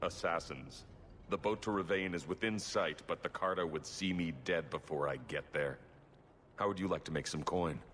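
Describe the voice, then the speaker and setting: A proud dwarven noble in exile, with an extremely deep voice. He speaks gravely and formally in a fantasy video game, with faint ambient noise behind him. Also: moderate pace, a bit bright. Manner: formal, solemn.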